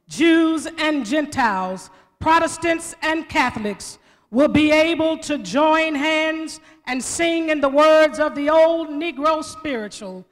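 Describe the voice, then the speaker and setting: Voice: high voice, a bit bright. A male voice delivering a dramatic speech reading at a commemorative event. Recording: reverberant hall.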